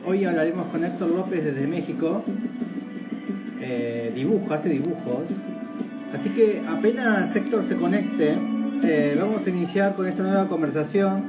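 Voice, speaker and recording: A man's voice: a little high, poor audio. A man hosting a casual live-stream interview, chatty and rambling, often repeating his words. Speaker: host. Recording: loud music behind.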